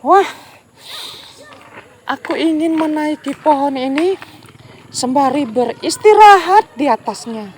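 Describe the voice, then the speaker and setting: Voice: sits high, takes it slowly, long pauses, a little hiss or hum, pitched above the performer's own voice. A storyteller voicing a brave young grasshopper child who speaks earnestly and eagerly in a storybook tale. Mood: cheerful. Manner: pleading, earnest.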